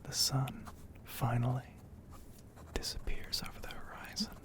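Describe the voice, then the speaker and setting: Male ASMR narrator: breathy whisper throughout, unhurried and level, poetic phrasing with long pauses. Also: medium pitch, completely flat delivery. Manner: slow, hushed, poetic delivery with frequent pauses.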